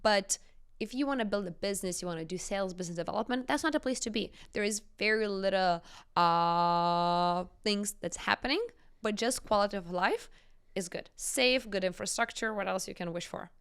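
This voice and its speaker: A woman's voice: mid-range voice, moderate pace, fairly bright tone, clear, resonant tone. A woman in her twenties with a Lithuanian accent, telling stories informally and emphatically as an interview guest. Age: 20s. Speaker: entrepreneur guest. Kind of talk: conversational interview storytelling.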